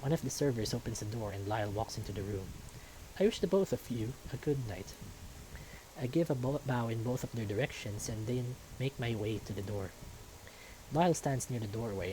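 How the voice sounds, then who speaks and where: A man's voice: lowish voice, fairly quick, warm tone, a little hiss or hum, low-quality recording. A man reading a visual novel aloud as storytelling narration, now and then stumbling over a word.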